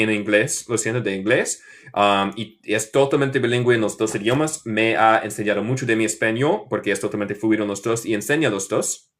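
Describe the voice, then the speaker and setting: American man teaching in halting Spanish. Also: lowish voice, a bit bright. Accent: American English. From Michigan, USA. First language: English. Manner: halting learner's Spanish with fillers and English switches. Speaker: English teacher. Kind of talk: casual livestream lesson.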